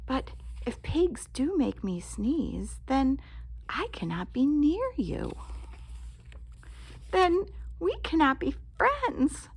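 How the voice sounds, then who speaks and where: Storybook elephant acted by a woman, male character, anxious, melodramatic, halting, very slow, swooping pitch. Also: sing-song, full character voice. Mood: despairing. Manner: dramatic halting delivery with big outbursts.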